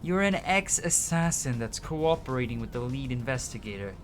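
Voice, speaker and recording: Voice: mid-range voice, expressive, moderate pace. Playful slangy game streamer over music. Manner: playful, slangy, hammy readings. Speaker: streamer, host of a gaming show. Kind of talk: live game stream, reading dialogue aloud with casual commentary. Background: video game music.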